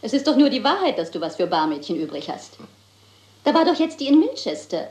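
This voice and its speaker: A woman's voice: a little high, big pitch swings, fairly quick, darkish tone. An actress playing a mocking wife who needles her husband in a German radio crime drama, sugary and cutting. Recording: roomy radio play sound.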